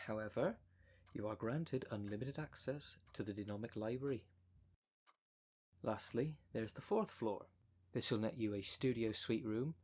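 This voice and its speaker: A man playing a smooth-talking, con-artist female minister of a demon cult in an ASMR roleplay, with a polished, unctuous sales manner. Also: lowish voice, darkish tone. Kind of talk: ASMR roleplay audio drama.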